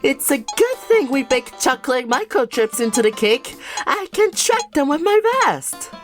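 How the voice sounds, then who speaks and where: A woman voicing a goofy, eager young male alligator secret agent in a cartoon fandub, with a drawn-out singsong delivery. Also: sits high, sing-song, music in the background.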